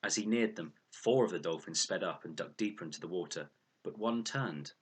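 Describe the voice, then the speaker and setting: Low-voiced man reading an adventure story. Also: a little fast, breathy edge. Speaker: audiobook reader. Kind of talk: expressive scripted storybook narration.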